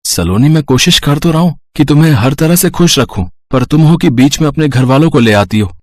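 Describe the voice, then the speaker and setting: A man's voice: on the high side, expressive, a little fast, a little mellow, no echo, studio quality, lightly acted. A man playing an earnest young husband in a cartoon moral story, reasoning reproachfully and protective of his family. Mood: exasperated. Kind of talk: acted dialogue in a Hindi moral story cartoon. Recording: voice over prominent music.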